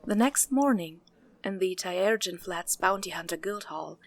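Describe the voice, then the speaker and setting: A woman's voice: mid-range voice, expressive, a little slow. A woman reading scripted story narration for a fantasy audio drama.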